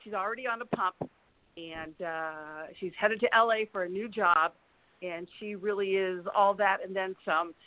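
A woman in her sixties speaks as a podcast guest over a dry, narrow-band line. She tells her story in a halting way, often pausing partway through a sentence and picking it up again. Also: mid-range voice, no room sound. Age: 60s. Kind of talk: personal storytelling in conversation.

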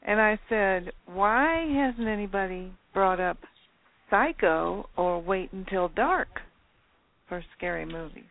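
A woman calling in to a radio show, chatting casually with a deadpan, insistent manner. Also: mid-range voice, takes it slowly, creaky, slight background noise. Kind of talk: casual phone chat. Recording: tinny telephone line.

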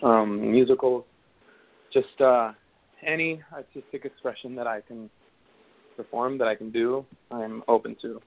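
Venezuelan actor in his 30s, hesitant phone interview guest, mid-pitched, tinny line. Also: steady tone, unhurried. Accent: Venezuelan Spanish. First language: Spanish. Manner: hesitant, many fillers and restarts. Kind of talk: casual interview answers.

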